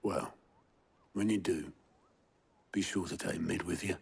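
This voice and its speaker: Gravelly, plain-spoken comrade. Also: male voice, low voice, fairly even intonation, a little slow, full character voice. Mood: earnest urging. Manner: colloquial, wry, familiar. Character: plain-spoken, gruff but caring male comrade. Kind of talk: video game voice acting.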